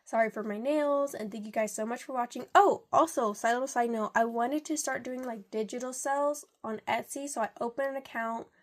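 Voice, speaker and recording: A young woman with a congested voice from a cold, chatting in a rambling way while counting out cash for her budget. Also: on the high side. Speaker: budgeting vlogger.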